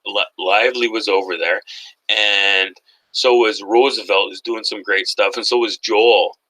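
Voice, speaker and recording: A man with an Irish Canadian accent, talking loud and animated in a casual livestream chat. His voice is very bright and sharp, and he launches into joking, rambling rants. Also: lowish voice, measured pace, poor audio. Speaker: guest.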